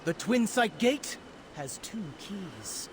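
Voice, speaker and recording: A man's voice: high voice, sing-song, slow pace, background noise, full character voice. A man voicing a grandiose storyteller in a video game, telling legends in a formal, dramatic, declaiming manner. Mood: grand, dramatic. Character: grandiose male storyteller of ancient legends, easily flustered.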